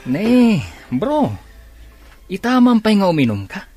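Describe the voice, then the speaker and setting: A man's voice: sits high, very animated, slow, over music, full character voice. A man with an Ilocano accent playing a teasing, consoling best buddy in a radio drama. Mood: teasing, concerned.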